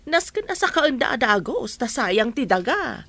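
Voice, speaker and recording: A woman's voice: a little high, sing-song, normal speed, a bit bright, no echo, heavily acted. A woman acting a practical village mother in a radio drama, with an Ilocano Filipino accent. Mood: urging. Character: practical village mother urging her grown children on.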